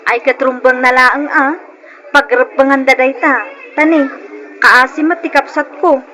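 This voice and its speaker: An actress playing a grasping, insistent sister-in-law who makes a show of sympathy, in an old-time Ilocano radio drama. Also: female voice, sits high, steady tone, unhurried, sharp tone, slight background noise. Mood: sympathetic.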